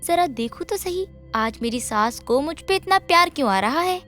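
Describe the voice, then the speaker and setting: A woman's voice: sits high, lively intonation, moderate pace, bright, forceful tone, faint music behind. A woman voicing a meek, devoted young daughter-in-law in a moral story, speaking politely and deferentially. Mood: wondering. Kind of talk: Hindi moral story voice acting.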